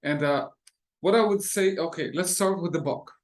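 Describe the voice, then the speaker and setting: An interview host asking questions and steering the guest back on track. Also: medium pitch. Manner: steering, quick backchannels.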